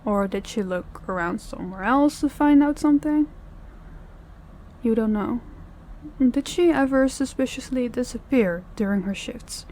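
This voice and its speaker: A woman acting as a controlling female boss in an audio roleplay, speaking in a measured, polite and quietly pressing way. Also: on the high side, natural voice, rough recording. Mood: questioning. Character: controlling, probing female crime boss, outwardly courteous.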